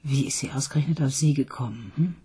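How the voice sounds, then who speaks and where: A woman's voice: rumbling low, fast, mellow, slightly rough, full character voice. An actress playing a skeptical woman police detective questioning a witness. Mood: probing.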